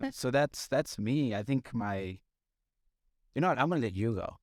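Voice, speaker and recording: A man's voice: mid-range voice, huge pitch swings, a little mellow, dry recording, clean studio sound. A man in his twenties, a music producer, chatting casually and joking with easy laughs. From Zimbabwe. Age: 20s. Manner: laid-back, jokey, quick to laugh. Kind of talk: casual chat.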